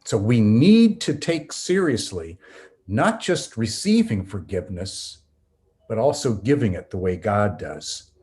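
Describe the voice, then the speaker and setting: A man's voice: lowish voice, very animated, unhurried, a little mellow. Earnest preacherly man teaching. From North America. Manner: earnest, preacherly, varies pace for emphasis. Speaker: pastor and Bible professor, guest. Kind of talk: teaching conversation.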